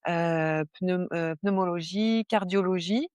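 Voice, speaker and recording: A woman's voice: on the low side, takes it slowly, darkish tone, smooth, no room sound, lo-fi sound. A middle-aged woman from southern France with a southern French accent, a guest chatting in an interview with many fillers and restarts. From southern France (Var). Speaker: guest, stress management coach. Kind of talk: casual interview conversation.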